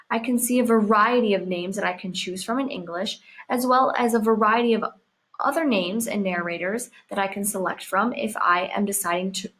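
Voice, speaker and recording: Female tutorial narrator, mid-range voice, scripted and instructional, lays out each step clearly. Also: mid-range voice, a bit bright. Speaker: software tutorial presenter.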